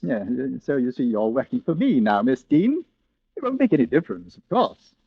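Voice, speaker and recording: An actor playing a genteel, suave English villain in an old radio thriller, with an affected, effusive politeness and a British accent. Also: male voice, medium pitch, big pitch swings, normal speed, creaky, dry recording. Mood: smooth, polite. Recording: dull, lo-fi vintage radio recording.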